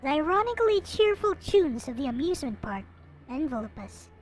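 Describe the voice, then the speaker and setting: A woman reading a visual novel aloud in an expressive, theatrical way, like a dramatic narrator. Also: sits high, a little fast. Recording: music playing behind the voice. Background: visual novel soundtrack music.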